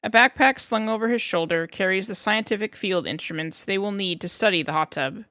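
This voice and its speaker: A woman's voice: medium pitch, fairly quick, pushed and bright, no echo, clean studio sound. A woman reading scripted fiction as an audiobook narrator.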